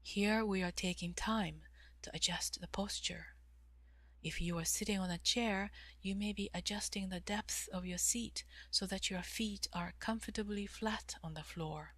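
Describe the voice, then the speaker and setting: A woman leading a guided meditation in a calm, measured way, with long pauses and soft, breathy phrase endings. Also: mid-range voice, no echo. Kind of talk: guided meditation, slow instruction.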